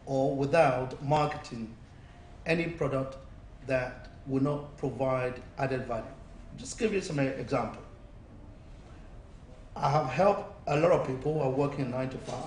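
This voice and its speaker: A man working as a business coach, answering interview questions in a persuasive, repetitive sales-pitch way. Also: measured pace. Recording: roomy, distant mic, faint noise.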